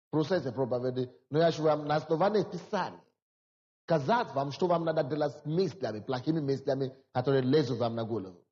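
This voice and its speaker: High-voiced preacher in a reverberant hall. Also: male voice, darkish tone. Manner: preaching with rising emphasis and repetition. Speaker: pastor. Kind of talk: sermon. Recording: dull lo-fi hall recording.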